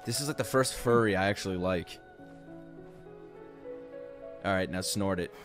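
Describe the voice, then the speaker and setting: A man doing casual live-stream reaction commentary to game trailers, excitable, slangy and profane. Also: low-pitched, normal speed. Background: game trailer music.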